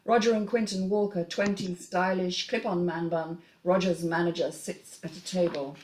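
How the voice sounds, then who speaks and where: Low-voiced woman narrating a screenplay. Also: steady tone, moderate pace, darkish tone, slight background noise, low-quality recording. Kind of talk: scripted narration, reading stage directions.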